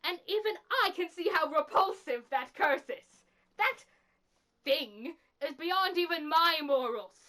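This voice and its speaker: A woman playing a bitter, remorseful revived killer in a dramatic monologue, speaking in a deliberate, accusing way. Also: extremely high pitch, lively intonation, sharp tone, exaggerated voice.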